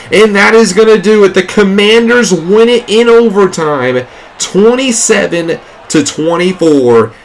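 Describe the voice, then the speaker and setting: A stuffy, congested man giving excitable play-by-play commentary over a video game, rising on big plays, then recapping the week as a gaming YouTuber. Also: fairly high pitch.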